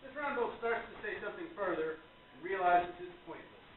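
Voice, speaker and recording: High-voiced male narrator, very echoey. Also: moderate pace. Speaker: narrator reading stage directions. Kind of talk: scripted narration. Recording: very echoey, distant, muffled recording.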